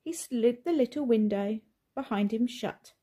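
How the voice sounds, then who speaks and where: Woman reading a kids book out loud, expressive, slow, dark soft tone. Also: fairly high pitch, husky. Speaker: storyteller. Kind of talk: expressive children's story narration.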